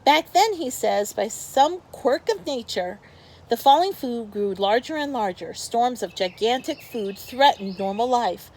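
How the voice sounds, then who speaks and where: A woman with a fairly high voice reads a storybook aloud as a teacher would for a young class. Her delivery is expressive, and she steps out of the text to explain things to the children. Noticeable background noise sits behind her voice. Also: low-quality recording. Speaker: teacher reading a picture book aloud to pupils.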